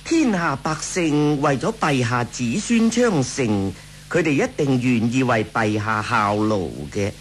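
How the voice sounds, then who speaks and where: An actor playing a sly, obsequious court eunuch with an oily, servile delivery in a Cantonese radio drama. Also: male voice, medium pitch, animated, a little slow, slight background noise, lightly acted. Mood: fawning, sly.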